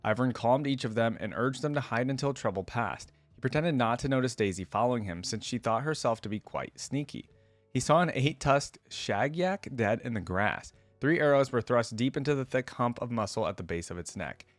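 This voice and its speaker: A man's voice: medium pitch, expressive, normal speed, dry recording, faint music behind. A man hosting a reaction video, reading a story aloud expressively and adding casual commentary.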